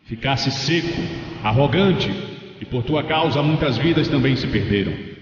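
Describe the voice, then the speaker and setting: A man's voice: medium pitch, heavy reverb, big-room sound, distant mic, lightly acted. A man voicing a stern angel in a religious story, delivering judgment in a solemn, accusing, declamatory way. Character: stern male angel reading out divine judgment. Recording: muffled, noticeable background noise.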